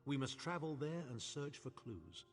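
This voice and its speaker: A man's voice: medium pitch, big pitch swings, normal speed, close to an everyday voice. Pompous imperial nobleman played by a man, reverberant hall. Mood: grave, scheming. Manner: pompous, sardonic, erudite, disdainful. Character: haughty older male imperial nobleman and chancellor. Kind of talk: theatrical video game dialogue. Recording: long hall reverb, faint music behind.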